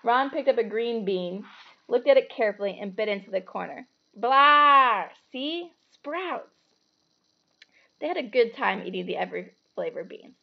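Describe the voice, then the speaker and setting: Female read-aloud storyteller, expressive delivery for children, creaky higher voice, slightly dull recording. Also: fairly quick. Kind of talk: expressive children's book reading with casual asides.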